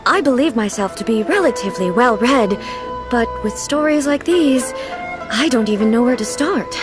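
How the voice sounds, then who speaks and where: Regal, earnest woman ruler acted, highish voice. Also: animated, music in the background, natural voice. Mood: composed, hopeful. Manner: formal, measured, earnest, literal-minded. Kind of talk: video game voice acting.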